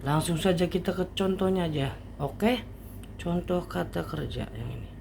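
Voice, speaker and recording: A man giving an informal language lesson as a teacher, explaining haltingly step by step with casual asides. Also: sits high, gravelly, darkish tone. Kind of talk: informal online grammar lesson. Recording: faint background noise.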